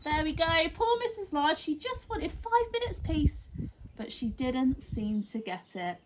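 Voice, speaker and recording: A woman reads a picture-book story to children in a fairly high, very animated voice. She takes her time and leans on key words. There is faint noise behind her. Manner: deliberate emphasis, child-directed. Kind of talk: expressive children's story narration.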